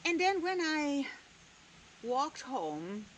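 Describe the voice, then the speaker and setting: A woman whose first language is Dutch talks very slowly and deliberately, letting long pauses hang between phrases. She muses aloud in a candid, personal way, and a faint hum of room ambience sits behind her. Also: high voice, sing-song, low-quality recording. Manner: candid, drops casual swearing. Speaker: spiritual vlogger. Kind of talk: reflective personal talk.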